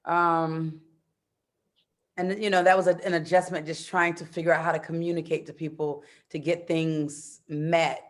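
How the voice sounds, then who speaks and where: A woman from the United States in her thirties talks with a slightly low voice. She speaks in a loose, animated way, full of fillers and quick laughs. Her tone stays easygoing and conversational. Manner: chatty and animated, laughs often, many fillers. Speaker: interview guest, expat in Brazil. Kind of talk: casual interview chat.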